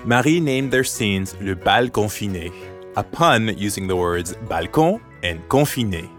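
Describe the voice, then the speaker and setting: A man hosting a podcast, reading a scripted story in a measured, clear way. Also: lowish voice, animated, faint music behind. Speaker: podcast host. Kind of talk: scripted narration.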